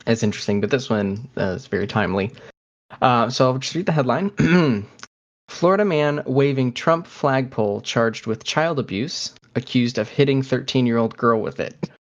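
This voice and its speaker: A man's voice: mid-range voice, normal speed, muffled recording. A man co-hosting a podcast in casual conversation, talking in a hesitant, conversational way. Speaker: podcast co-host.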